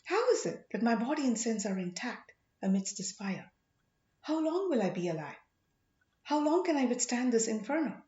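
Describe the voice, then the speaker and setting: A woman's voice: a little high, big pitch swings, moderate pace, warm tone, echoey room, lo-fi sound. A woman voicing a dazed, earnest young prince full of wonder in an audiobook story. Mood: anguished.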